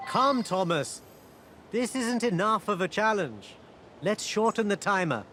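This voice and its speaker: Proud prince, slightly high voice. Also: male voice, sing-song, unhurried, fairly bright tone, slight background noise, exaggerated voice. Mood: confident. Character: proud, dismissive Indian prince hosting a party.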